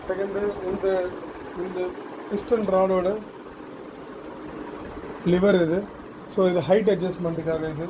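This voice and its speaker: A man giving an unscripted product demonstration, mixing in English terms, halting and repeating words as he explains. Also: high-pitched, fairly even intonation, background noise.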